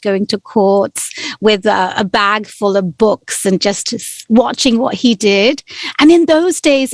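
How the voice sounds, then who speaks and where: Animated London woman, high and dry. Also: no room sound. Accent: London English. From London, England. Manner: warm, animated storytelling with laughs. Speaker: immigration lawyer, guest. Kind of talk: casual interview talk.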